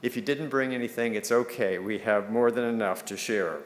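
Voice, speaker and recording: A man with a medium-pitched voice addresses a congregation as one of its board members. He delivers a public welcome to visitors and moves through practical announcements. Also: normal speed. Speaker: church board trustee giving welcome announcements. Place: church service before a congregation.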